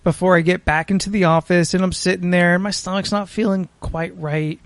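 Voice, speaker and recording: An American man hosting a podcast, telling a story casually in a halting, sweary way. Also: high-pitched, fairly even intonation. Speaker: podcast host.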